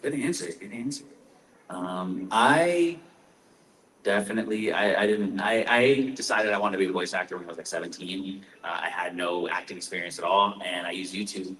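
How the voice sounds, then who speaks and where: A deep-voiced young man from Massachusetts speaks casually on a convention panel, restarting sentences and filling pauses with "um." His voice sits far from the mic in a roomy, echoing hall with faint background noise. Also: a bit bright. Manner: rambling, many fillers and restarts. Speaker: panelist voice actor. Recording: echoey room mic from far off.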